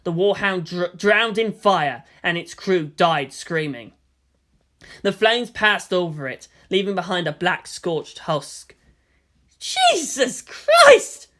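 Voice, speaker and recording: Bright, forceful female story narrator. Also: fairly low pitch, takes it slowly. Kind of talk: dramatic narration of fan fiction.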